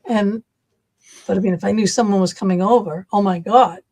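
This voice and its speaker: A woman's voice: mid-range voice, brisk, mellow. A middle-aged woman from Massachusetts with a Massachusetts accent, chatting casually and animatedly, rambling with many fillers. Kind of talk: casual chat.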